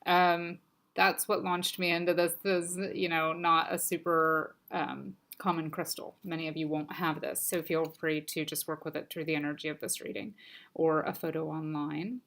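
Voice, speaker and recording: A woman with a somewhat low voice talks through a weekly crystal card reading in a gentle, unhurried way. Her voice sounds a bit stuffy and scratchy from allergies. She fills her pauses with soft ums. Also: little pitch movement, gravelly. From United States. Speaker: crystal and oracle card reader. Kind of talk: casual spiritual card reading.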